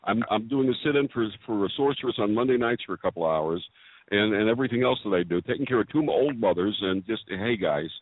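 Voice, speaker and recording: A man co-hosting a paranormal talk show who cracks jokes in an animated way. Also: lowish voice, fast talker, dry recording. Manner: joking, animated. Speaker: co-host. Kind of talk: casual radio chat. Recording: tinny phone-like line.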